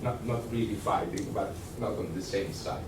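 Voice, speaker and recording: A man with an Italian accent giving a workshop lecture, conversational and halting, with many fillers and restarts. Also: on the low side, fairly even intonation. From Italy. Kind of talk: workshop lecture with audience questions. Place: lecture room. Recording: echoey room, distant mic, noisy.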